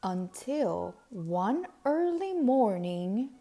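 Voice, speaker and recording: A woman narrates a children's picture book in a mellow, relaxed voice. She reads very slowly, setting out each phrase with care. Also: fairly high pitch. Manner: deliberate, measured phrasing. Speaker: read-aloud storyteller.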